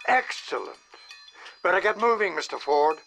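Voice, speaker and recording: A man's voice: sits high, animated, moderate pace, fairly bright tone. An actor playing a commanding male government spymaster, speaking in a formal, controlled way. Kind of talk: video game cutscene voice acting. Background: game score music.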